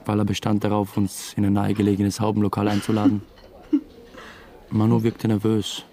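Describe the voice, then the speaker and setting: An actor playing an uneasy, wry first-person narrator in a radio drama. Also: male voice, on the low side, completely flat delivery, normal speed, mellow, close to an everyday voice. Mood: wry, resentful. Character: uneasy, wry male narrator, a jealous hapless suspect.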